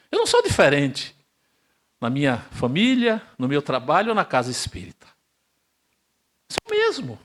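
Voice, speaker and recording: An older man from Brazil giving an emphatic spiritist lecture, asking rhetorical questions and swinging between loud and hushed delivery. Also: a little high. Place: spiritist center hall, live audience.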